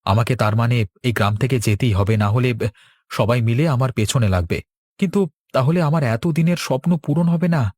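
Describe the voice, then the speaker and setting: Man playing a husky, earnest young villager. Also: mid-range voice, fairly quick, a little mellow, no room sound, studio quality, natural voice. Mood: worried, determined. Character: earnest young village man, dutiful son and hopeful farmer. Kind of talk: Bengali cartoon dialogue.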